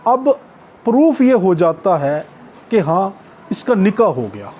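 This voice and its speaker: Pakistani man, high mellow voice, lecturer explaining step by step with repetition, faint background noise. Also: sing-song, highs cut off. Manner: repetitive step-by-step explaining with frequent check-ins; switches into slow English when reading out clauses. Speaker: law lecturer. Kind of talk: explanatory exam-prep lecture, reading clauses and glossing them.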